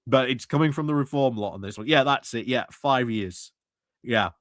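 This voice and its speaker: A man's voice: medium pitch, moderate pace, dry recording, studio quality. A man giving casual, off-the-cuff commentary on politics in a hesitant, conversational way. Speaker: streamer commentator.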